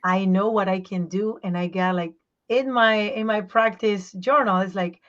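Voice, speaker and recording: A woman voice teacher and singer, talking in a casual interview, animated and explaining with examples, sometimes searching for her words in English. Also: mid-range voice, normal speed, muffled recording. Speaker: voice teacher and singer, podcast guest.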